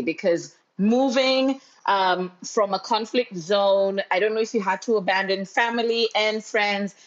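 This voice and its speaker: A woman's voice: medium pitch, muffled recording. A woman hosting an interview podcast, asking long winding questions and filling with "you know" and "mm-hmm.". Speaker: podcast host.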